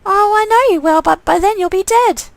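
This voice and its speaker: A woman's voice: very high voice, normal speed, creaky, dry recording, voice pushed up. A woman voicing a doting, unsettlingly cheerful middle-aged mother in a game, fussing sweetly and gushing fondly. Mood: wistful.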